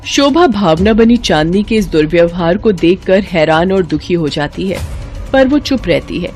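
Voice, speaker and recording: A woman's voice: fairly low pitch, expressive, moderate pace, darkish tone. Woman storyteller narrating over music. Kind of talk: scripted narration of a moral bedtime story.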